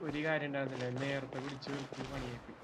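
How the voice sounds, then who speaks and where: A man's voice: medium pitch, level tone, rapid-fire. A man with a Malayali accent giving casual live game commentary, mixing in English words and muttering to himself. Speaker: game streamer. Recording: noisy stream with loud game music.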